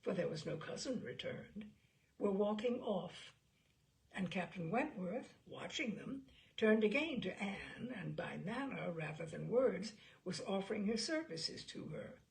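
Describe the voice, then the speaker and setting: A woman narrates classic literary fiction in a measured, expressive way. Her voice sits at a middling pitch, with plenty of gravelly fry running through it. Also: low-quality recording. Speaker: audiobook reader. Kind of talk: literary narration of a classic novel, voicing dialogue.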